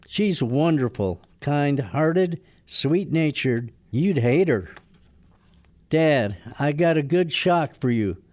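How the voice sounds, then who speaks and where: A man playing an awkward, earnest, lovestruck young lawyer with a gently rebellious streak in a radio drama. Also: on the high side, close to an everyday voice.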